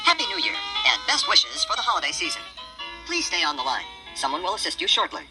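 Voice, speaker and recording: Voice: wildly swooping pitch, brisk. A formal, courteous scripted telephone on-hold announcer on an old answering machine tape, played at altered speed through a small lo-fi speaker. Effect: tape speed altered by a pitch control. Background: music.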